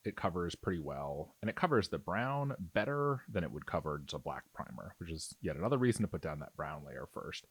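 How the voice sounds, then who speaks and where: A man's voice: low voice, big pitch swings, a little fast, few pauses, husky, dry recording. A man giving a casual, explanatory tutorial, talking conversationally as if thinking aloud. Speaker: miniature painting tutorial host. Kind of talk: casual, explanatory tutorial narration over painting.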